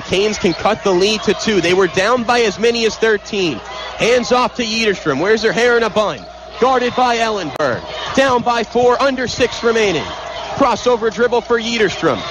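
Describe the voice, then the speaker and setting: A man calling live basketball play-by-play on the radio in vivid, clipped phrases, from an indoor arena. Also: extremely high pitch. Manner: vivid, clipped, rapid-fire calls. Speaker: play-by-play sportscaster. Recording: loud crowd noise behind, live arena broadcast. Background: loud crowd.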